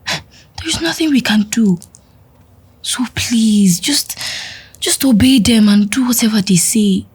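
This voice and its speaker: A woman playing a soft-spoken young woman who soothes her sister. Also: on the high side, slow, husky, heavily acted. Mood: soothing. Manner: soft, soothing, often whispered. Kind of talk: web drama acting.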